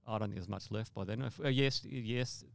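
Older male podcast guest, low and resonant, conversational storyteller who rephrases as he goes. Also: brisk, vocal fry, no room sound. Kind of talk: conversational first-person storytelling.

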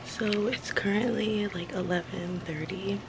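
A woman's voice: medium pitch, fairly even intonation, measured pace, echoey room, over a noisy crowd, low-quality recording. A young American woman talking casually to her phone camera in a vacation house, chatty and swearing freely, with lots of "like.". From United States. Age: young adult. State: tired. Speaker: travel vlogger. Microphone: phone camera at arm's length.

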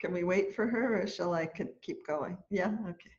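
A woman in her sixties, a choir leader, telling a story in an animated, chatty way during a lesson on a video call from home. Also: on the low side, normal speed. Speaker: choir leader and music teacher. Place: room at home, indoors. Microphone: laptop webcam on a video call. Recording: dull lo-fi video call audio.

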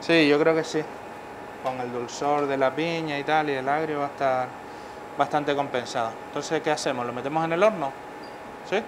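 A man's voice: a little high, fairly even intonation, normal speed. A middle-aged man, a chef, walking through a dish step by step in a professional kitchen, often checking in with his listener. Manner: explains steps, checks in with "vale". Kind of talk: cooking demonstration. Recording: noisy kitchen ambience.

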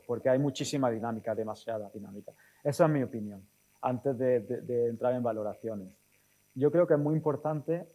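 A man in his forties speaking as a guest in an on-stage interview, thinking aloud haltingly and often checking with short tag questions. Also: mid-range voice, mellow, rough recording. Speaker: guest speaker, technology executive. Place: auditorium stage. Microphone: lapel mic.

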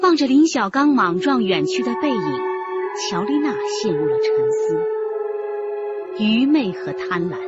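A woman narrating a scripted radio drama in a solemn, formal manner. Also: mid-range voice. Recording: dull sound under music.

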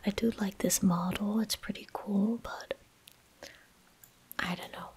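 Young woman, breathy whisper, slow pace, ASMR game commentary, close desk mic. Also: mid-range voice, steady tone. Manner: slow, unhurried, gentle murmuring. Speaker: ASMR streamer. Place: small indoor room at a desk. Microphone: close desk microphone.

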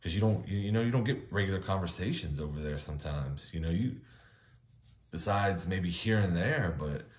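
A man in his thirties from the United States, chatting casually to camera at home, relaxed and rambling with many restarts. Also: low voice, moderate pace, reverberant. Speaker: vlogger. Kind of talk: casual vlog monologue. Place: home living room with kitchen behind, indoors.

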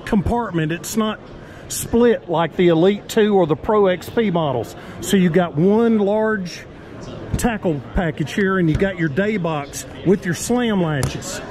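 A man with a high voice and a slow delivery walks viewers through a show display. He talks casually in short admiring phrases, listing features one by one, with crowd chatter around him. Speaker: boat show vlogger. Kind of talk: casual walkthrough commentary. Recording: busy crowded expo hall noise. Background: crowd chatter at a boat expo.

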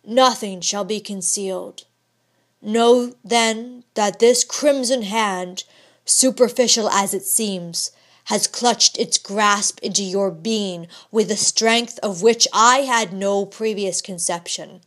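A woman's voice: medium pitch, slow pace, a bit bright. A woman reading the part of an intense, proud male scientist, speaking sternly in formal old-fashioned language. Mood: earnest.

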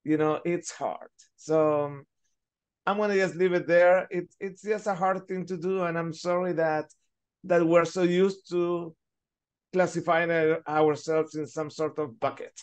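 A middle-aged man from Chile, speaking as a panelist on a video call through a headset, thoughtful and halting as he searches for words. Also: a little high, fairly even intonation, clear, resonant tone. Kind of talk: reflective panel discussion.

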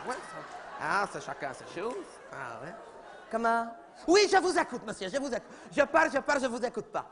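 A man's voice: very high-pitched, very animated, normal speed, a crowd in the background. A man voicing a chatty, oblivious middle-aged mother, rambling and gossipy in a comedy sketch. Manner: rambling, gossipy, repeats "oui, oui", talks over others.

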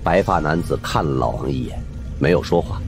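A man narrating a suspense horror audiobook in an expressive, dramatic storytelling manner. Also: a bass voice, fairly quick, gravelly, slight background noise.

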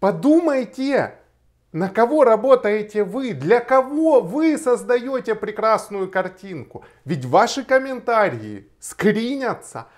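A man in his thirties from Kharkiv, Ukraine, a lawyer speaking straight to camera in an emphatic, argumentative way. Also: high voice. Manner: emphatic, argumentative, gestures while talking. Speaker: lawyer and blogger. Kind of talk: direct-to-camera video reply. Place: small indoor room, plain wall.

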